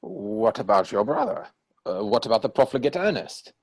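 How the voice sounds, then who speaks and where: A man acting a witty, idle young Victorian gentleman in a stage comedy reading, arch and teasing, recorded at home over a video call. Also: fairly low pitch, big pitch swings, normal speed. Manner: arch, teasing, mannered phrasing.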